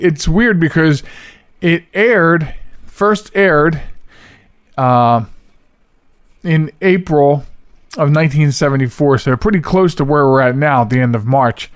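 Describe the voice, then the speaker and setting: A man's voice: medium pitch, no echo, clean studio sound. A man hosting a podcast, talking casually and rambling a little as he introduces the show. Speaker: podcast host.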